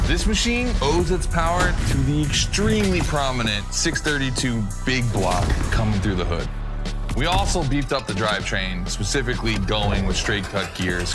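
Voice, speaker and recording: A man's voice: mid-range voice, close to an everyday voice. A man playing an upbeat racing mentor and car-shop boss in a video game cutscene, talking informally and instructively. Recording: loud music behind the voice.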